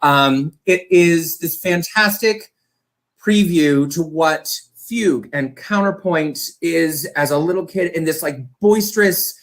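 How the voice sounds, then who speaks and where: A man's voice: fairly high pitch, slow, a bit bright. Emphatic man in casual, opinionated chat. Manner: emphatic, enthusiastic, piles up adjectives. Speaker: co-host, panelist on a choral music show.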